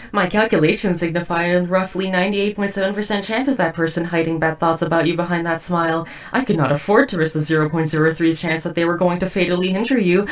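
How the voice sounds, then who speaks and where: A woman's voice: lowish voice, far from the mic, close to an everyday voice, poor audio. A woman playing a socially awkward, devoted but murderous robot house spouse in a roleplay audio drama, speaking in stiff, wordy, formal phrases. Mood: deadpan, protective. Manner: stiff, verbose, overly formal wording. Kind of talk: scripted roleplay audio drama addressed to listener.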